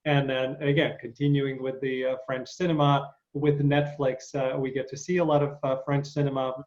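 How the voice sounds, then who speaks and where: A man's voice: medium pitch, little pitch movement, normal speed, a little mellow, dull audio. A man hosting a casual interview, enthusiastic and full of fillers and restarts. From Ukraine. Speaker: interview host.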